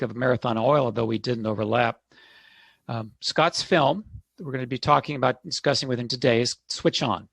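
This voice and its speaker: A man hosting and moderating a panel discussion over a video call, giving formal, measured introductions. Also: medium pitch, a bit bright, dry recording. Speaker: moderator, energy institute director.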